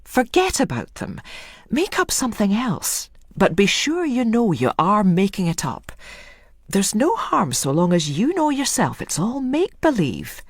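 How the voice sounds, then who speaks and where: A woman's voice: medium pitch, huge pitch swings, a little slow, husky, no echo, clean studio sound, heavily acted. A woman voicing a stern middle-aged matron who scolds a girl in a firm, coaxing way. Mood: scolding. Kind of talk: audiobook reading.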